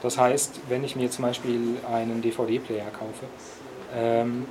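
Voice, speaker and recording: A man's voice: medium pitch, little pitch movement, slow, rough recording. Interviewee, man, mid voice, explanatory with fillers, crowd noise behind. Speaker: repair café volunteer. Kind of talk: interview answers.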